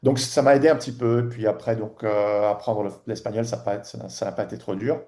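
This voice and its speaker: Podcast guest, man in his forties from Tunisia; mellow, halting delivery full of fillers. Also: fairly low pitch. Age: 40s. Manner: halting, many restarts and fillers. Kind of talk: casual interview chat.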